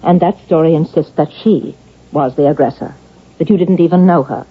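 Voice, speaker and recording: Casting: insistent interrogating psychiatrist, measured delivery, slightly rough voice, muffled old-time radio sound. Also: female voice, deep voice. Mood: confronting. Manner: measured, deliberate, pressing. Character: probing, insistent psychiatrist questioning an accused killer. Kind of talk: vintage radio drama acting. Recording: dull old radio drama recording.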